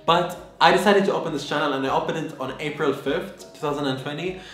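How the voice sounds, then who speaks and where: A young man in his twenties from Lebanon, talking casually to the camera in a lively, chatty way with lots of fillers. He speaks from his bedroom. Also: fairly high pitch, fast. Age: 20s. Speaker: vlogger. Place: bedroom, indoors. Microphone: camera in front, medium close. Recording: echoey room with faint music.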